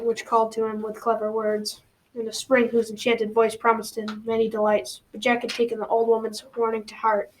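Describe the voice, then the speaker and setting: High-pitched young storyteller, a girl as grandpa. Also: darkish tone, close to an everyday voice, low-quality recording. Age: child or teen. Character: grandfather telling grandchildren a folktale. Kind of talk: scripted storytelling, amateur radio drama. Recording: faint background noise.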